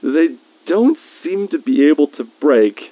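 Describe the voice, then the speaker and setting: Man acting as male facility researcher, fond and semi-formal, measured audio diary, thin phone-like radio filter, dry sound. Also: fairly high pitch, big pitch swings, no room sound. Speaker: researcher recording a personal log.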